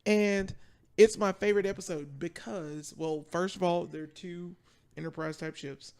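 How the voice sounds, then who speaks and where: A man chatting casually on a podcast, telling stories in an excitable, emphatic way. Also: high-pitched, unhurried. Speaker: podcast panelist. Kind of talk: casual chat. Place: podcast studio.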